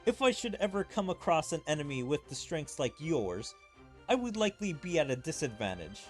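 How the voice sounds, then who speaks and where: Guy doing a composed calculating fighter from an anime game, formal wording, animated delivery, music behind. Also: on the high side, a little fast.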